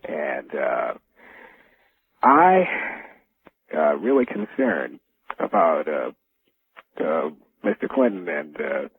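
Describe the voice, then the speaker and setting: An American man calling a radio show by telephone, speaking casually in a halting, hesitant way and repeating himself. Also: a bass voice, wildly swooping pitch, vocal fry, breathy edge, dry recording. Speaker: radio phone-in caller. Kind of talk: casual phone-in talk. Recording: narrow, tinny phone line on radio.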